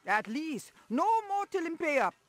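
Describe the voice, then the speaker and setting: A woman's voice: high voice, very animated, normal speed, fairly bright tone. A woman voicing a cheerful food-stall cook in a video game, with a heavy Jamaican accent and sing-song sales patter. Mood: gossipy.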